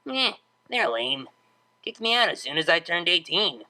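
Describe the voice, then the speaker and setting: A woman's voice: lowish voice, very animated, moderate pace, sharp tone. A woman voicing a brash, laid-back young unicorn mare DJ in a pony story, with a casual, slangy, offhand manner. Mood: dismissive.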